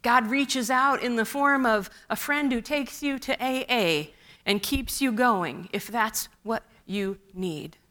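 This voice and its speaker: A woman pastor giving a sermon, unhurried and measured, with wry asides, speaking English as a second language after Russian. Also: on the high side, fairly bright tone.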